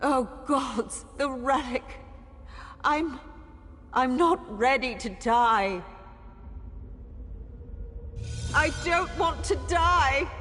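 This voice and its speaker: An actress playing a frightened, dying woman in a video game, pleading and halting in a dramatic style. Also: high-pitched, expressive, pushed and bright, exaggerated voice. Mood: terrified despair. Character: frightened dying woman trapped in a failing tower. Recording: long cavernous reverb, music underneath. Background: game music.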